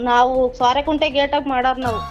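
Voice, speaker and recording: A woman's voice: sits high, flat delivery, brisk, dull audio. A woman in a casual phone conversation, blunt and protesting, repeating herself. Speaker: small eatery owner taking a call.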